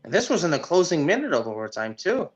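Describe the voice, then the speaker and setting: A man co-hosting a sports podcast in casual chat, haltingly repeating words as he goes. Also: on the high side, very animated, muffled recording.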